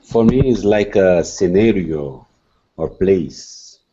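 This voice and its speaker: A man's voice: sits low, slow, dark tone, slightly rough. A man answering as a student in an online English class, a little hesitantly. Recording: dull, lo-fi online call audio.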